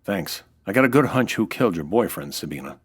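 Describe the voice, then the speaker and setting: A man playing a hard-boiled American nightclub owner, dry and deadpan, in radio noir style. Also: low-pitched, brisk, husky. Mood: pressing, terse. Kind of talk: 1940s radio noir acting, scripted narration.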